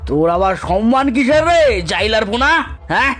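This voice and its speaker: A cruel village moneylender from a cartoon melodrama. His pitch is high and swings widely as he drags out each contemptuous taunt. Music plays behind him. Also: big pitch swings, normal speed. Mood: furious, abusive. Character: cruel, sneering male village moneylender, haughty bully. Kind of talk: dramatic cartoon story acting. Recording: background music under the voice.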